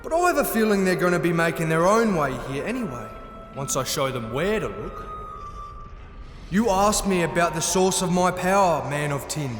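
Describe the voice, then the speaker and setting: A man's voice: fairly high pitch, animated, normal speed, natural voice. A man playing a falsely courteous, condescending gnome king in a fantasy audio drama. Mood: mock-polite. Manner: mock-polite, suave, condescending. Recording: echoing hall with music behind.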